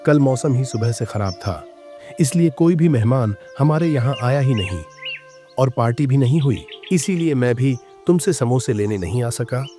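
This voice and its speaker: A considerate rich merchant played by a man in a children's moral cartoon. His mellow, mid-pitched voice is measured and fair, with light music behind. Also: lively intonation, natural voice. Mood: apologetic. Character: kindly, fair wealthy male merchant customer. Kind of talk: Hindi children's moral-story cartoon dub.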